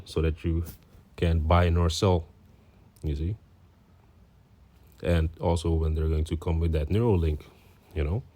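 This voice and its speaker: A man's voice: extremely low pitch, mellow. A man with a Dutch accent, a native Dutch speaker, giving a preaching commentary as he reads aloud, earnest and peppered with "you know" and "you see.". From Netherlands. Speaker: religious commentator.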